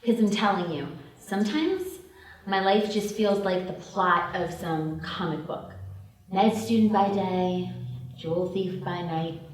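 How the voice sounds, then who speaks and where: A woman's voice: on the low side, a little slow, close to an everyday voice. A woman acting a stressed, principled young medical student who moonlights as a thief, at a screenplay table read. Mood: weary venting. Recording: very echoey room, distant, noisy mic.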